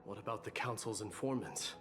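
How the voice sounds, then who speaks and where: An actor playing a dignified warrior prince in a video game, speaking in a formal, restrained and earnest way. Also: male voice, lowish voice, a little fast, music in the background, lightly acted. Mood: composed.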